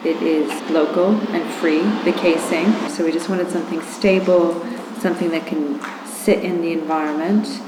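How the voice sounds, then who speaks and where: A woman's voice: low voice, steady tone, moderate pace. A woman giving an informal, conversational explanation of her work. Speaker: artist explaining handmade amplifier. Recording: echoey room with clear background noise.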